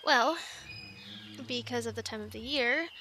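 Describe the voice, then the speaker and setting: A woman playing a plucky young island castaway in an ASMR roleplay, chatting in an animated, halting, stammering way. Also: a little high, a little hiss or hum. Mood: matter-of-fact. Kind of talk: ASMR roleplay, conversational one-sided dialogue.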